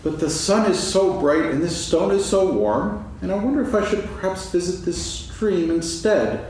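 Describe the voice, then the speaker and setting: A man playing a gentle, absent-minded, food-loving toy bear in a staged children's story reading, musing aloud in a singsong way. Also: high-pitched, lively intonation, moderate pace. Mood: dreamy musing. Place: stage, actors seated, live audience recording. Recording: echoey hall from far off, faint noise.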